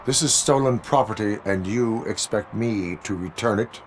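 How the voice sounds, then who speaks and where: A dutiful cyborg lawman, played by a man in a video game. His lowish voice is clipped and formal, stern and deadpan in wording, with clear city noise behind it. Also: moderate pace, natural voice, low-quality recording. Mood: firm. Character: stern, dutiful male cyborg police officer. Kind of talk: video game cutscene acting.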